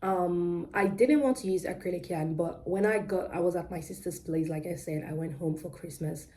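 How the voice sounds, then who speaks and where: A young Nigerian woman with a British-leaning accent talks in a loose, rambling way, often pausing on ums and correcting herself mid-sentence. Her voice sits a little low and sounds mellow and warm. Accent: Nigerian with British-influenced English. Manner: rambling and talkative, many ums and self-corrections. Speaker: crochet vlogger. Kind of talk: casual chatty vlog.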